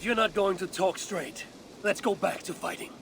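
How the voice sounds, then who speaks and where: A woman playing a blunt, suspicious Viking warrior in a video game, with a low, husky voice and a Scandinavian accent. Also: normal speed, a bit bright, natural voice. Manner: blunt, terse, challenging. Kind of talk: video game cutscene acting. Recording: game scene with ambient noise.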